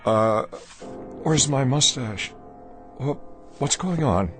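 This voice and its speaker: Excitable husband, wildly swinging voice. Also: male voice, medium pitch, over loud music. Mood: bewildered, frightened. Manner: excitable, halting and repeating phrases. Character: elderly husband turning rapidly younger, awestruck and frightened. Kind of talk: radio drama acting.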